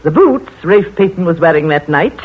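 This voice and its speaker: An actress playing a nosy, know-it-all village spinster who talks brisk and bossy, in a 1940s radio play. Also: female voice, lowish voice, animated, slightly rough. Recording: tinny old radio broadcast.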